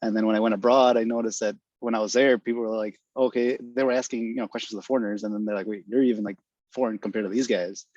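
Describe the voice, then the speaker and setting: This is a young man with a lowish voice who talks quickly, over dull, lo-fi video call audio. He hedges a lot with "uh," "like," and "I guess." The sound is dry. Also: fairly even intonation, a little mellow, no echo. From United States (Wisconsin), born in Guatemala. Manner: hesitant, lots of "uh," "like," "I guess". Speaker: study abroad alumnus panelist. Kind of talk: casual panel answer.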